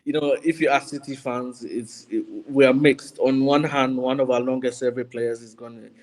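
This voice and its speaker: A man's voice: mid-range voice, steady tone, moderate pace, a little mellow, low-quality recording. A man giving his views as a panelist on a football podcast, in conversational punditry. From Ghana.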